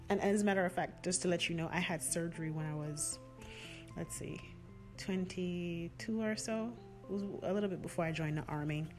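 Earnest low-voiced woman podcast host. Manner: earnest, heartfelt, affirming. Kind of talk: solo reflective podcast talk.

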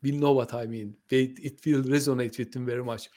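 A man's voice: medium pitch, steady tone, a little fast, mellow. Hesitant Turkish-born man in interview. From Turkey. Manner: hesitant, many fillers and restarts, friendly. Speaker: podcast guest, translation company owner. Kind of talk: conversational interview. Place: lives in the UK.

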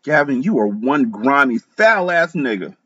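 A man's voice: medium pitch, sing-song, unhurried, bright, forceful tone, breathy edge, voice pushed up, full character voice. A man doing a brassy outspoken girlfriend. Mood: scornful. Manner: brassy, cursing. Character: loud outspoken best friend woman, shocked. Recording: slightly muffled.

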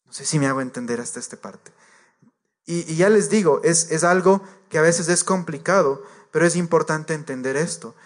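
A man's voice: on the high side, normal speed. A man preaching a sermon to a congregation, earnest and direct, repeating his points and addressing the listeners as believers.

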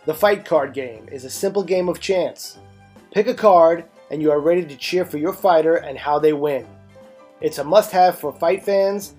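A man's voice: a little high, a little mellow. A man, a comedian, reading a scripted promotional spot for his own product. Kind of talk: scripted ad read.

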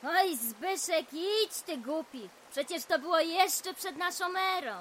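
High-voiced teasing village wife. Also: female voice, animated, a little slow, a bit bright, slight background noise, full character voice. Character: teasing older village wife, bossy and scolding. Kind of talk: audio drama acting.

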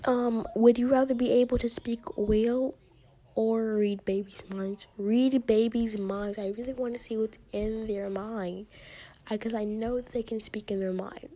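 A teenage girl vlogger with a high-pitched voice chats casually, reads questions aloud and answers them herself, and often drops into soft near-whispered asides. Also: fairly even intonation, unhurried.

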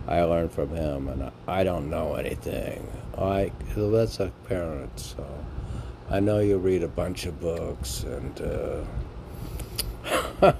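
A man talking casually in a halting, musing, repetitive way and reciting poetry as a podcast host, on an amateur recording. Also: fairly low pitch, noisy background. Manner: halting, musing, repeating phrases. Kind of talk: rambling casual talk and poem recitation.

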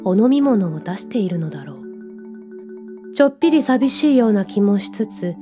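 Woman as a mellow, gentle radio-drama storyteller. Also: medium pitch, animated, normal speed, faint music behind, close to an everyday voice. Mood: reflective, tender. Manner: soft, reflective storytelling. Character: gentle, dreamy young narrator visited by a cat. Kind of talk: scripted radio drama narration.

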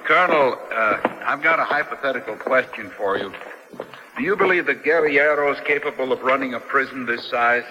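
An actor playing a self-assured American journalist in a radio drama, on a dull, noisy recording. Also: male voice, medium pitch, fairly quick, lightly acted. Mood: assured, polite.

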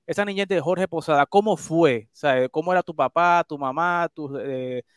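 A man's voice: a little high, moderate pace, smooth, no echo, studio quality. A man hosting an interview, asking long questions with frequent tag questions. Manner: chatty, long questions with repeated "¿verdad?" tags. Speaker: interviewer, host.

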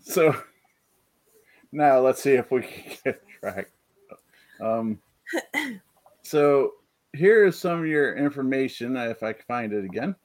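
Man, podcast host, halting, filler-heavy, reading comments, video call. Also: mid-range voice, animated. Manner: halting, many fillers, reads chat comments. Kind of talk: casual livestream chat. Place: home, rural.

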